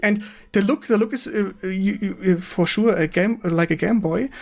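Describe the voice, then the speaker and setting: A man with a German accent hosting a casual gaming stream interview in English, speaking haltingly and repeating words with effusive enthusiasm. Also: sits high, creaky, soft background music. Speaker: host of a retro gaming stream.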